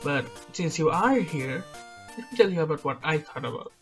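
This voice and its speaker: A man's voice: fairly high pitch, lively intonation, moderate pace. A man giving casual, self-deprecating speculation about a video game, hedging often with filler words. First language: not Chinese. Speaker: gaming YouTuber. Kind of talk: speculative game commentary over slides. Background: background music.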